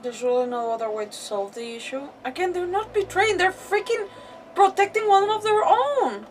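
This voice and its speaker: A woman's voice: extremely high pitch, normal speed. A woman streaming a game with casual, rambling commentary, reacting and arguing back at the characters. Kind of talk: casual live gameplay commentary. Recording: slightly distant mic, faint background noise.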